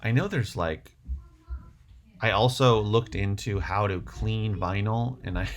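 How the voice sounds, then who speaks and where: A man's voice: fairly low pitch, a little slow. A man hosting a casual livestream, answering viewer questions in a rambling way, thinking aloud as he goes. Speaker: livestream host.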